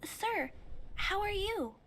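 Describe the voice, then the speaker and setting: A woman's voice: extremely high pitch, big pitch swings, takes it slowly, a bit bright, faint music behind, heavily acted. A woman voicing an earnest, polite little girl in a game scene. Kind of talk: game voice acting.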